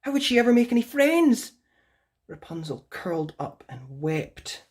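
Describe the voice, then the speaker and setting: A woman narrating a children's fairytale as an expressive storyteller. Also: on the low side, unhurried, breathy edge.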